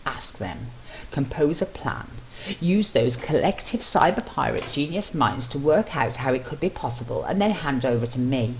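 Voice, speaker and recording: A woman voicing a confident, coaxing husband in a fan fiction reading, steady, gruff and commanding. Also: rumbling low, normal speed. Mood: calm, challenging. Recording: noisy amateur home recording.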